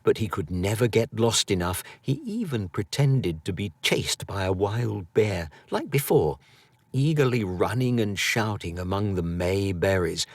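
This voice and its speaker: A man narrating a storybook as an audiobook reader, in a theatrical, expressive manner. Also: lowish voice, a little slow, dry recording.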